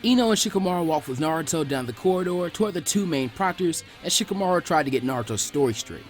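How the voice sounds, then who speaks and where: Animated higher-pitched man reading a story over music. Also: quick pace. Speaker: fan fiction narrator.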